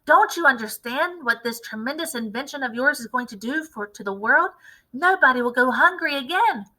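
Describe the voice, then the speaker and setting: A woman voicing a wildly excited, impatient, domineering farmer father in a storybook read-aloud, exclamatory and emphatic. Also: high-pitched, normal speed, heavily acted, poor audio. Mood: excited, urgent.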